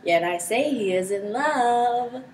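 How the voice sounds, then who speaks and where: A woman's voice: on the high side, expressive, takes it slowly, darkish tone. A teenage girl playing a young, credulous count in an amateur Shakespeare performance. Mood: teasing. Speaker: student performer. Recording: distant mic with background noise.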